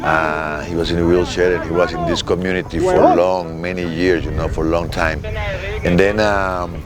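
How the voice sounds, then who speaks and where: A paragliding instructor, a man, speaking in heartfelt, halting English in a documentary interview. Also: low voice, level tone, over a noisy crowd.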